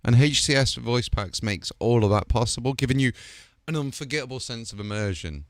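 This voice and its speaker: A man's voice: medium pitch, animated, brisk, dry recording. A man hosting a product showcase, hyped and rambling, with filler words. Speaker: host.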